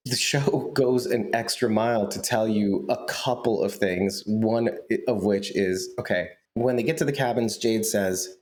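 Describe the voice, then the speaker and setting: A man's voice: on the low side, a little slow, few pauses, a little mellow. A man co-hosting a podcast in casual chat, joking and teasing his co-host, laughing often.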